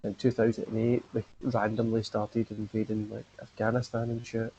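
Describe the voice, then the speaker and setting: A man's voice: lowish voice, monotone, normal speed, mellow, highs cut off, slight background noise. A man hosting a podcast in casual chat, rambling and filling his talk with "like". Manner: rambling, leans on "like" fillers. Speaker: podcast host.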